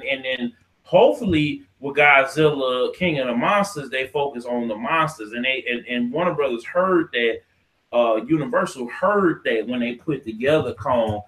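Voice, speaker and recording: A man's voice: mid-range voice, moderate pace, a bit bright, rough recording. An American man hosting a podcast, casually debating in a profane, slangy way, cutting in and repeating himself for emphasis. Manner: profane, slangy, argumentative, interrupting. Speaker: podcast host. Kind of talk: casual debate about movies and games.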